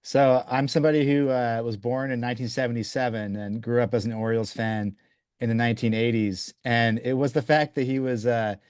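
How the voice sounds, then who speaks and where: A man's voice: medium pitch, steady tone, a little fast, a little mellow, clean tone, no echo, clean studio sound. A man in his forties, raised in Belgium, answering interview questions about his book with eager, stop-start phrasing. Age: 40s. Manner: enthusiastic, restarts often, says "you know". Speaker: author guest.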